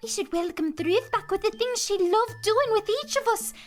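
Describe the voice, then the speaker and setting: Woman playing a very high plucky young godling. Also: normal speed, soft background music. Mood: cheerful, earnest. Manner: upbeat, earnest, chatty. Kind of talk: fan audio drama acting.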